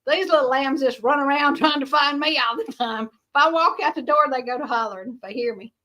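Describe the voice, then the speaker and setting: Middle-aged woman vlogger, high bright voice, rambling homespun chat with frequent laughs. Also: a little fast. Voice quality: high-pitched. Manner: rambling, chatty, laughs often. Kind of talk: casual chat to viewers.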